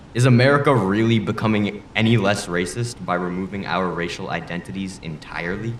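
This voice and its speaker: A deep-voiced male politician played by a man argues his case in a formal debate. He is proud, blunt and earnest, laying out each point deliberately. The room echoes around him, with faint noise behind. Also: fairly bright tone. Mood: indignant, firm. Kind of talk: audio drama acting, formal debate rhetoric. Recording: roomy echo, faint background noise.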